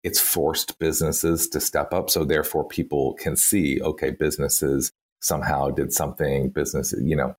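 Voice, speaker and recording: A man's voice: very deep voice, flat delivery, normal speed, hardly any pauses, husky. A man with a Texan accent, a guest in an interview, talking casually and thinking aloud with lots of fillers. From Texas. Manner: chatty, thinks aloud with many "like" and "you know". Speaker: interview guest, campaign strategist.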